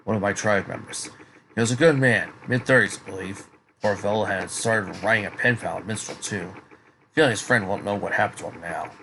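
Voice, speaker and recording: A man playing a polite, concerned tribesman with a measured, earnest manner in a fan fiction reading. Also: fairly low pitch, bright, forceful tone, slight background noise, rough recording. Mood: sober, regretful. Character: polite, concerned male tribesman recalling an attack. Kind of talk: amateur fan fiction voice acting.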